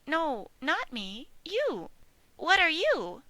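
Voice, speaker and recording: A woman voicing a polite, eager young male piglet in a storybook, speaking in a high, bright, well-mannered way. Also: huge pitch swings, very slow, dry recording, heavily acted. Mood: curious. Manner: high, bright and polite, squeaky when upset. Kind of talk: storybook reading.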